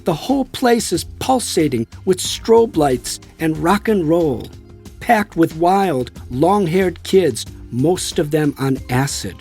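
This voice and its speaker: A man voicing a friendly, enthusiastic American devotee in audiobook dialogue, with a warm, animated, admiring manner. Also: fairly high pitch, takes it slowly, faint music behind. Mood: excited reminiscing.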